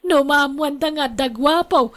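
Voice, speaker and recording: Woman acting a high-voiced, excitable Ilocana sweetheart. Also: measured pace. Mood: playful, affectionate. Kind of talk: Ilocano radio drama acting.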